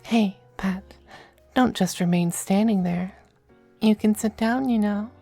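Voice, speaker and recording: Woman doing a possessive demon femboy for asmr, unhurried n crackly, breathy, teasing, fancy manners. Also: medium pitch, dark tone, soft background music. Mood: languid, teasing. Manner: genteel, languid, commanding endearments. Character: suave possessive high-ranking demon femboy, affectionate and teasing. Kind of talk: ASMR roleplay.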